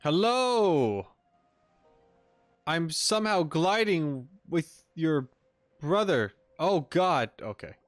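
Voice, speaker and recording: A man's voice: a little high, big pitch swings, drawn-out words, pauses often, no echo, soft background music. A man giving casual, slangy gameplay commentary as a streamer in an offhand manner. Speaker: video game streamer.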